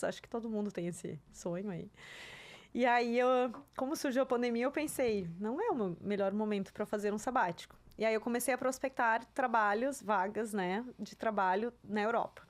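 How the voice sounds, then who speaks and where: A woman in her thirties, a native German speaker, chatting reflectively as an interview guest and often tagging her sentences with "né?". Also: medium pitch. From southern Brazil. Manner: reflective, tags sentences with "né?", light laughs. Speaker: interview guest, career mentor. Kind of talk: casual interview chat.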